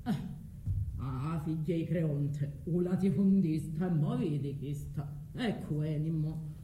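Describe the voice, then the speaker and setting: A woman's voice: low-pitched, takes it slowly, mellow. An actress with a southern Italian accent playing a bitter, vengeful abandoned foreign woman in a theatrical stage monologue. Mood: bitter, scornful. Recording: echoey room, faint background noise.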